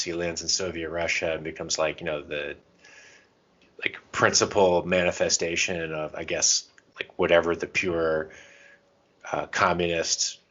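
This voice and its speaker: A man's voice: low-pitched, fairly bright tone, lo-fi sound. A man co-hosting a podcast, chatting casually and thinking aloud in a rambling way. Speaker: podcast co-host. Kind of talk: casual chat about tabletop roleplaying and comics.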